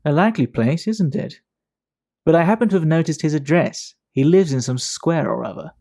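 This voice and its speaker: A man playing a worldly, talkative Victorian English gentleman in an audio drama, speaking in a measured, gossipy, fastidious way. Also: fairly high pitch. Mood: scornful, gossipy.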